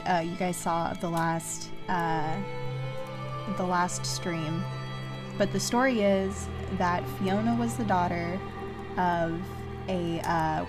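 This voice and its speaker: Slow-paced woman streaming a game. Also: medium pitch. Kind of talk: casual livestream game commentary.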